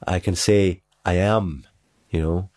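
A middle-aged man with an Arabic accent, telling his own story in a measured, earnest way with pauses. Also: low voice, steady tone, darkish tone. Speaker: documentary interviewee. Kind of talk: reflective personal storytelling.

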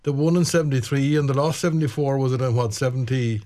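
A man hosting a radio chat show, casual and prompting, with a mid-range voice. He keeps the talk moving with quick questions and agreements. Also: medium pitch, dark tone. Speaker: radio presenter.

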